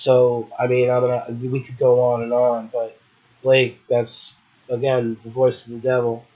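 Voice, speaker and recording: Through a thin, phone-like line comes a man hosting a poetry show. He reads literary passages aloud and slips into casual commentary. His pitch sits in the middle of the male range. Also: completely flat delivery, moderate pace. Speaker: poetry podcast host, poet. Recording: muffled, tinny phone-like audio.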